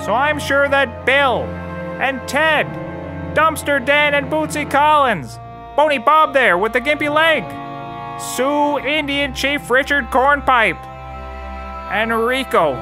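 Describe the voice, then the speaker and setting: Man acting out a high-pitched, melodramatic cult brother. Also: slow, music in the background. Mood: mock-solemn. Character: melodramatic, bumbling male cult brother, self-serious and indignant. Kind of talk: scripted comedy audio drama acting.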